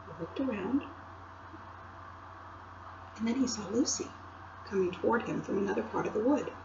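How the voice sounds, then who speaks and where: A woman, a teacher, reading a storybook aloud in an expressive way and stopping to ask her listeners questions. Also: medium pitch, normal speed, creaky, dull audio, noisy background. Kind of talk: read-aloud storybook narration.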